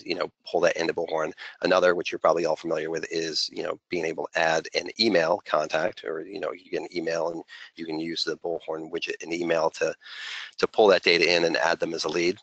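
Very low-pitched, creaky-voiced man presenting. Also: fairly bright tone, no echo, dull audio. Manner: conversational, heavy use of "you know" as filler. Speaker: webinar presenter, software consultant. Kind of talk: unscripted software walkthrough.

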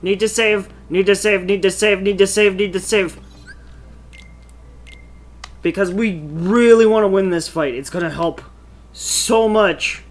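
A man's voice: high-pitched, unhurried, long pauses. A man giving casual, playful commentary while he plays a video game. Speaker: gamer commentating on playthrough. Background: game music.

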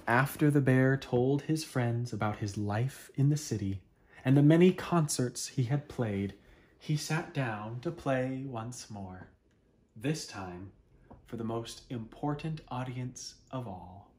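A man in his thirties reading a children's picture book aloud expressively, with dramatic, deliberate pauses. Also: mid-range voice, darkish tone. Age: 30s. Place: small living room at home, indoors.

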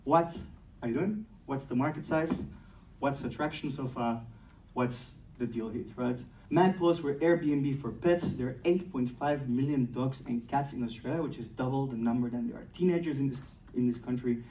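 A man in his thirties from Belgium with a Belgian French accent, giving a playful, energetic startup talk on stage and acting out dialogues. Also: mid-range voice, moderate pace, slight background noise. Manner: playful, acts out dialogues, laughs at own jokes. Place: large dark event room on a stage. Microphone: distant mic, speaker far from camera. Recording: roomy sound from a distant camera.

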